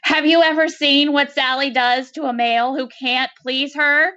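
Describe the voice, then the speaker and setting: A woman reader performs a male alien insect from a science fiction story. The voice is pitched up, panicky and wailing. He complains with bitter sarcasm and resentment. The sound is a little dull. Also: steady tone, normal speed, pushed and bright. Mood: distressed, accusing.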